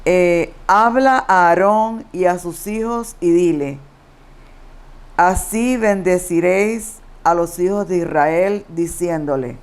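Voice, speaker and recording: A woman from Puerto Rico with a Puerto Rican Spanish accent, reading Bible verses aloud and answering her study partner with short agreements. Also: on the low side. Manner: affirming, reads aloud slowly. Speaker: co-host reading scripture.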